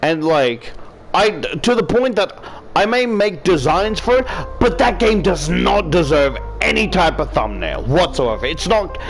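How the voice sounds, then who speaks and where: Male game commentator, fairly high voice, unhurried delivery, exasperated and sweary rambling, prominent music underneath. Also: very animated. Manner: profane, exasperated ranting with emphatic repetition. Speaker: video game reviewer, YouTuber.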